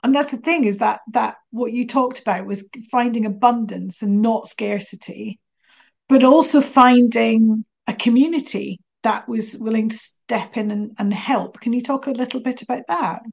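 A woman's voice: on the high side, normal speed, creaky, a little mellow. A woman hosting an interview, asking questions and sharing her own stories in a chatty way. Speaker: host. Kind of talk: casual interview chat.

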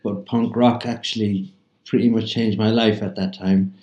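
Irish man in his sixties, dark voice. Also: fairly low pitch, little pitch movement, slight background noise. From Ireland. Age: 60s. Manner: reflective, unhurried, with many fillers. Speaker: guest. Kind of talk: casual interview. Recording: roomy, dull lo-fi sound.

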